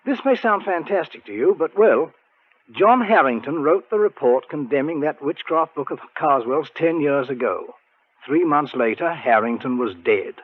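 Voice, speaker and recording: An actor playing an anxious, irritable society secretary, agitated and emphatic, in a 1940s radio drama. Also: male voice, fairly high pitch, animated, moderate pace. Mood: uneasy, ominous. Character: anxious, irritable British science society secretary, suspicious man. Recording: thin narrow-band 1940s radio recording.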